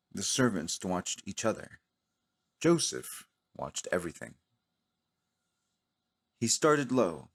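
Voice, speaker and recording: A man narrating a cinematic audio drama in a solemn, dramatic manner with deliberate pauses. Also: on the low side, darkish tone. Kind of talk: slow cinematic scripted narration.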